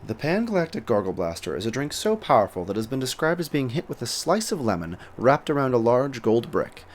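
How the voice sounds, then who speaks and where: Male narrator, mid-range pitch, very animated melody, scripted explainer read. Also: brisk.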